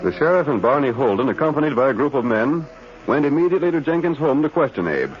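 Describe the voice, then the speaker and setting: A man's voice: medium pitch, big pitch swings, fast, natural voice. A man narrating a western adventure in scripted 1940s radio drama style. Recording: muffled old radio broadcast. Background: music.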